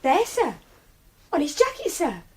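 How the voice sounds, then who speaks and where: An actress playing a pert, stubborn young maid with an English accent, blunt and cheeky in a radio drama. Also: female voice, very high voice, huge pitch swings, measured pace, a little mellow, full character voice. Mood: eager. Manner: blunt, cheeky, deferential "sir". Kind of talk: British radio drama acting.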